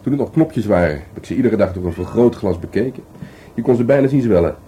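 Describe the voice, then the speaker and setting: Man acting grumbling male police detective, Dutch accent from the Netherlands, lowish dark voice, informal radio drama dialogue, faint background noise. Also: animated, fast, natural voice. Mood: relaxed, chatty. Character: disgruntled male police detective, grumbling but playful at home. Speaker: actor in a radio play. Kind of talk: naturalistic radio play dialogue. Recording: faintly noisy radio play recording.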